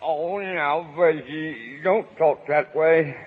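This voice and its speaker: An actor in a 1930s radio drama playing a meek, fussy middle-aged husband who whines and pleads. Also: male voice, on the high side, breathy edge. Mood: placating. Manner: plaintive, drawn-out, fussing. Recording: thin phone-like 1930s radio, long reverb.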